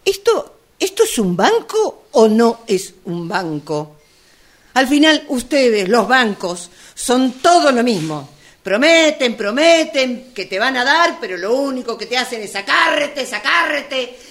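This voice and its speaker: A woman plays an absurdly stubborn female customer in a radio comedy sketch, with an Argentine accent. Her voice sits a little high and moves slowly, with halting, earnest phrasing. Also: big pitch swings, a bit bright, heavily acted. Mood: indignant. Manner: hesitant, halting delivery. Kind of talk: amateur radio play acting.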